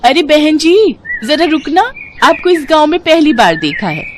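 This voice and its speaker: Well-to-do village wife, acted by a female narrator: inquisitive, cautious, storybook delivery, very varied pitch. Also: very animated, a little slow, noisy background. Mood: curious. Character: curious, wary rich village housewife.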